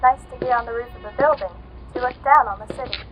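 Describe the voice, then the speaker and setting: A woman's voice: on the high side, fast talker, a bit bright, faint music behind, lightly acted, low-quality recording. A woman playing a terse, instructing prompter voice from a tiny transistor gadget in an old-time radio drama. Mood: terse coaching.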